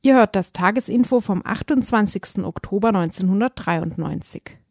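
A woman's voice: mid-range voice, normal speed, a little mellow. Woman announcing over faint guitar music. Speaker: radio programme announcer. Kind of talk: scripted radio intro announcement.

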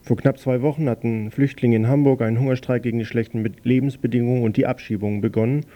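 A man presenting a daily news program on a community radio station, reading his introductions in a measured, slightly halting way. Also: mid-range voice, fairly even intonation, dark tone.

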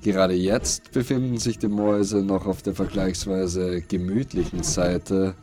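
Male narrator for dark audio drama: low register, unhurried grave delivery, scripted prose over prominent music. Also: fairly even intonation. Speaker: radio play narrator. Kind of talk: scripted literary narration of a dystopian radio play. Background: prominent music behind the voice.

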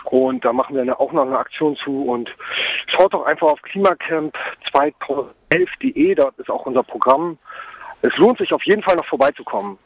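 A man speaking as an activist in a spontaneous phone interview, halting and full of ums, over a mobile phone. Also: a little high, very animated, creaky, breathy edge, no echo. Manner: hesitant, halting, frequent ums. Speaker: climate camp activist being interviewed. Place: at a climate camp, on a mobile phone. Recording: dull, lo-fi mobile phone line.

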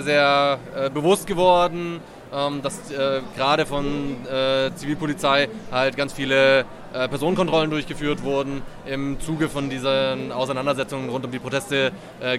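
A man's voice: medium pitch, level tone, normal speed. A man, an activist being interviewed outdoors at a street festival, talking casually with many fillers and restarts. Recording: loud crowd around.

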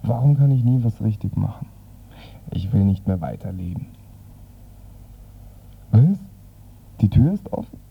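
An exasperated, despairing antihero played by a man in a comic radio play. He is gruff and fretful with swooping intonation, heard from a distance through a muffled recording. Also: fairly low pitch, lively intonation, unhurried, pauses often, a little hiss or hum. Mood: bewildered, despairing.

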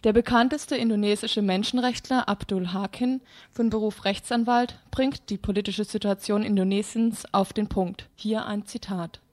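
A woman's voice: mid-range voice, steady tone, moderate pace, darkish tone. A woman presenting a news magazine on the radio, reading out scripted reports.

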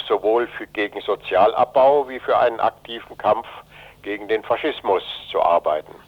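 An elderly man in his eighties from Germany, speaking deliberately and emphatically in a political radio interview, pausing between phrases. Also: mid-range voice. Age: 80s. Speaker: interview guest, retired journalist and anti-fascist activist. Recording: dull, lo-fi radio recording.

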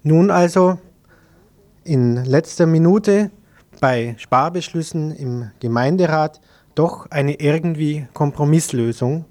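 A man's voice: a little high, slow, warm tone. A man working as a radio interviewer, reading a prepared report and putting questions to a guest.

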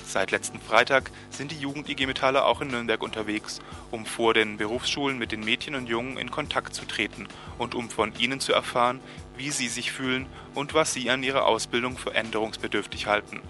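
Male news presenter for scripted radio intro, mid pitch, bright and clear-cut delivery, faint music bed. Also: fairly even intonation, a little fast, hardly any pauses. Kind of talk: scripted narration introducing a report. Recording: music behind the voice.

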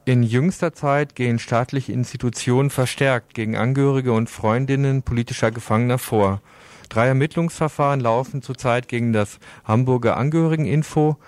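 A man's voice: mid-range voice, steady tone, a little fast, a little mellow, dry recording. A man reading scripted news items as a radio presenter in a studio.

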